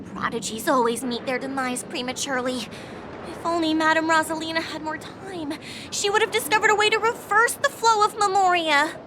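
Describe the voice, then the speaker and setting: A woman's voice: very high-pitched, normal speed, background noise. A woman voicing an earnest young female scholar in a video game, formal and full of enthusiasm. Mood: determined. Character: earnest, eager young female scholar devoted to her idol.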